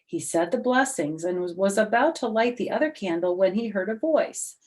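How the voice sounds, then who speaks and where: A woman's voice: medium pitch, lively intonation, normal speed. A woman reading a picture book aloud as a storyteller, with chatty asides that explain things simply, as to young children.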